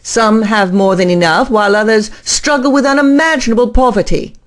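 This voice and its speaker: A woman's voice: a little high, brisk, natural voice. Woman as an earnest, eager university scientist. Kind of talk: audio drama acting.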